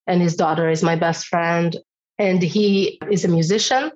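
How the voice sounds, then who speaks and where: A woman from Israel, a singing teacher talking frankly and thoughtfully, her voice slightly hoarse. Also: on the low side, steady tone, moderate pace, darkish tone, highs cut off. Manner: thoughtful, frank, laughs often. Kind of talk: casual interview chat.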